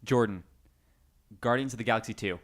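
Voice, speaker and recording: A man's voice: medium pitch, fairly quick. Chatty man hosting a podcast. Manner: chatty, informal, jokey. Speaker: podcast host. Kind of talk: casual chat.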